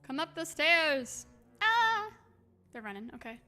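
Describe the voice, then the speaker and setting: A woman chatting casually on a game livestream, expressive and affectionate, reading messages aloud, with a slightly hoarse voice. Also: high-pitched, a little slow. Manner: expressive, exclaims often, gushes affectionately. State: slightly hoarse, by own account. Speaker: livestream host. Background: music.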